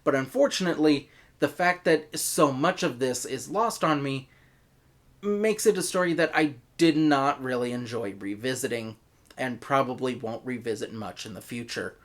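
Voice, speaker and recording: A man's voice: mid-range voice, normal speed. An American man delivering a scripted review in an emphatic, opinionated way. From United States. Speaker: reviewer, host.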